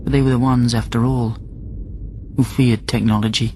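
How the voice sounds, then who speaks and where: An actor playing a lonely immortal prisoner on a space station, narrating reflectively in a measured radio drama monologue. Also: male voice, mid-range voice, flat delivery, background noise, lightly acted. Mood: reflective, haunted. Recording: loud music bed under the voice.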